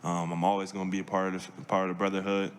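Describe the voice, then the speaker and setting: A young man from Seattle answering reporters' questions at a press conference, measured, with many fillers and restarts. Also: sits low, little pitch movement, clean tone. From Seattle, Washington. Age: young adult. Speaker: draft pick at press conference.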